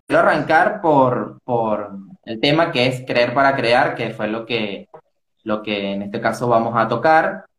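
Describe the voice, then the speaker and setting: Brief: male interviewer, halting and searching for words, middle pitch, echoey room, faint music behind. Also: a bit bright. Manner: hesitant, halting questions with fillers. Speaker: interview host. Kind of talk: interview questions. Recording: roomy echo with faint music.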